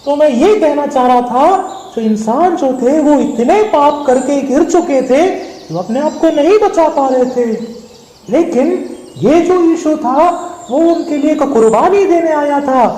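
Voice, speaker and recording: A man's voice: extremely high pitch, measured pace, warm tone. A man voicing a small, talkative, cheeky monkey who eagerly explains things in a puppet show. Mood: earnest. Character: small cheeky talkative male monkey, wary but helpful. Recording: echoey hall with background noise.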